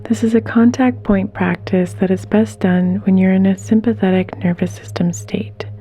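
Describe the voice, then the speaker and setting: A woman leading a guided meditation in a soft, gentle, soothing way, with unhurried pauses and some breathiness. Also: medium pitch, fairly even intonation, soft background music.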